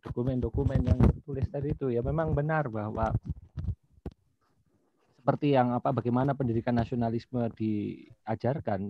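A man's voice: mid-range voice, no room sound. A young man, a panel speaker explaining informally and hesitantly as he searches for words. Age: young adult. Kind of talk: informal explanation in an online discussion. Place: small plain room, indoors. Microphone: video call, close to screen. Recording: dull, lo-fi video call audio.

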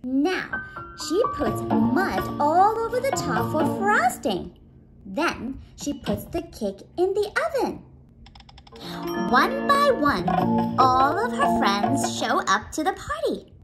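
Children's storyteller: woman, very high voice, very slow delivery, coaxing questions, music behind. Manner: animated, exclaiming, coaxing questions with drawn-out words. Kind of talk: interactive storytelling for preschoolers. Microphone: phone or tablet video call, close to face.

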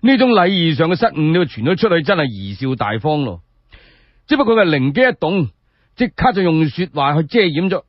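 Male Cantonese radio storyteller, higher-pitched and colloquial, very lively delivery, slightly muffled recording. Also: a little fast, no echo. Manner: colloquial, dramatic. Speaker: solo storyteller. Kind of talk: animated oral storytelling of historical tales for radio.

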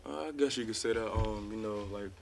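A man's voice: lowish voice, fairly quick, gravelly, heavy background noise. A young man, a college basketball player, answering reporters at a postgame press conference, laid-back and full of "um" and "like" fillers. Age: young adult. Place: press room in front of sponsor backdrop. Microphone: table mic in front of him.

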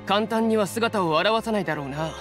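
An actor playing a vigilant, determined young citizen on watch in a Japanese video game, serious and assertive. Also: male voice, high voice, brisk, a bit bright, faint music behind. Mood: watchful.

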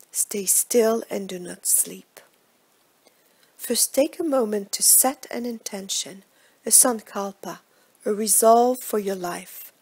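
A woman guiding a relaxation meditation in a soft, breathy voice, with measured, hushed delivery and gentle phrase endings. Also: a little high. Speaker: yoga nidra meditation guide. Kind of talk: guided relaxation meditation, scripted instruction.

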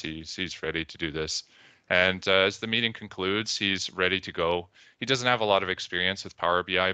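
Deep-voiced Canadian man giving a talk. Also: sharp tone, clean tone, no room sound. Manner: storytelling with quoted voices. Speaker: conference speaker. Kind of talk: presentation talk.

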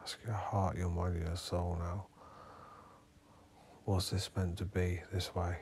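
A man leading a guided hypnotherapy meditation as a therapist, speaking in hushed, instructing, spaced-out phrases. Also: low voice, little pitch movement, measured pace, a little hiss or hum.

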